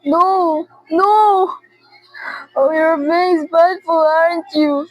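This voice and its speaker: Young woman acting a high-voiced, frantic, imperious wife. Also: steady tone, takes it slowly, no echo, highs cut off. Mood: desperate, pleading. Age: teenager. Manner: indignant, haranguing, repeats phrases. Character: frantic, imperious bedridden wife, nervous and demanding. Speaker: student performer. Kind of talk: amateur radio drama acting.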